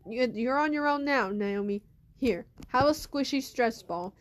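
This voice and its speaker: A woman voicing a blunt, bossy girl who teases and orders her friends to calm down. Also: high-pitched, a little slow. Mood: brusque. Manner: brusque, teasing.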